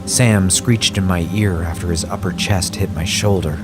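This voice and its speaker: A man reading a scripted first-person horror story in a measured, grave way. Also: sits low, fairly even intonation. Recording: music bed under the voice.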